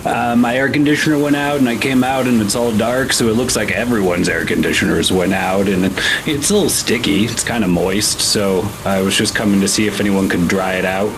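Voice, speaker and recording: Man as eccentric male guest, rambling, deadpan, oblivious, slightly low pitch, tabletop game, clear background noise. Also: a little fast, lightly acted.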